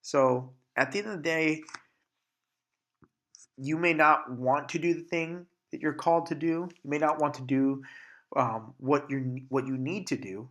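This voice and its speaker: A man's voice: fairly high pitch, steady tone, unhurried, fairly bright tone. A young man hosting a solo podcast, talking candidly with frequent profanity and restarts, congested and sniffling from allergies. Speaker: podcast host. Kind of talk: casual solo podcast monologue.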